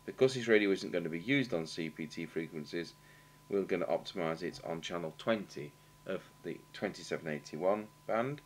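Low-voiced British man over humming noise. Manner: halting, matter-of-fact, thinking aloud. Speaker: radio repair technician demonstrating. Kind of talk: unscripted technical demonstration commentary. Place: indoor workshop at a bench. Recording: clear background noise and hum.

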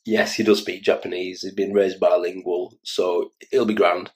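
A tired Irish man in his forties with a Dublin accent, talking casually and haltingly to his phone camera in a small room. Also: low voice, level tone. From Ireland. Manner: rambling, halting, self-mocking. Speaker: children's entertainer and vlogger. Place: small room indoors, in an office chair. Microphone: phone camera held close.

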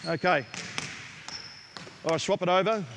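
A middle-aged man with an Australian accent, coaching players in a gym with brisk, direct instructions. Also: high voice, a bit bright, slight background noise. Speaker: basketball coach. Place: large indoor basketball gym. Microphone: headset mic.

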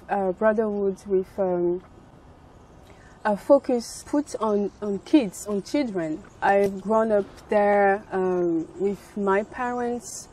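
A young woman from Congo speaks slowly and calmly, with a mellow tone. She is outdoors in a garden, with faint ambience around her. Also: mid-range voice, a little hiss or hum, low-quality recording. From Republic of the Congo. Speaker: interviewee. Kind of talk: personal interview storytelling.

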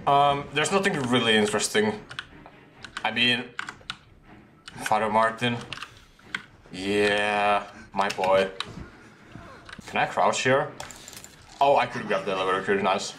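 A young man casually commentating a horror game he streams, breaking into high surprised laughs. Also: slow pace, long pauses, a bit bright, slight background noise. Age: young adult. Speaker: gaming streamer. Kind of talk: casual let's-play commentary. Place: room at a desk with a gaming chair. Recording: game music playing behind. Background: game music and keyboard typing.

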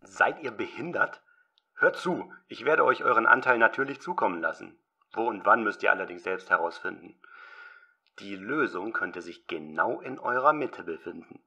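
A man playing a gruff, jovial, crude older film director in an audio drama parody. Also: fairly low pitch, lively intonation, normal speed, pushed and bright, exaggerated voice. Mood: gruff, exasperated. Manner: boisterous, crude, bluntly teasing.

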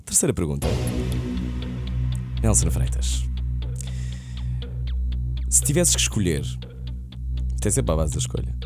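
A male morning radio host keeps the segment moving with a playful, upbeat delivery and a lively, swinging pitch. A music bed runs clearly under his voice in the studio. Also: measured pace, pauses often. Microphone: studio mic.

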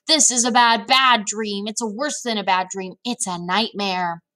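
A woman acting out big male giant, storybook character, excitable, kindly, exclamatory, mangled grammar. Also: medium pitch, moderate pace, natural voice. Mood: distressed, furious.

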